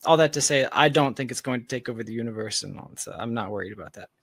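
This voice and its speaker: A man with a mid-pitched voice talks as a guest in a casual discussion. He reasons things through aloud, circling back with qualifications and asides. The sound is close and dry. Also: quick pace, a bit bright, no room sound. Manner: reflective, hedging, reasons aloud in long asides. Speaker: podcast guest, tech founder.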